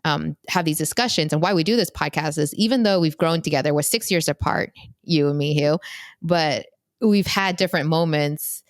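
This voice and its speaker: A young woman co-hosting a family podcast, her voice sitting very low for a woman. She rambles through her thoughts with plenty of fillers and false starts, close to the mic in a dry, dead room. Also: moderate pace, no echo. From United States. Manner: rambling, many "like" fillers and restarts. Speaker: podcast co-host. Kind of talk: casual chat among siblings.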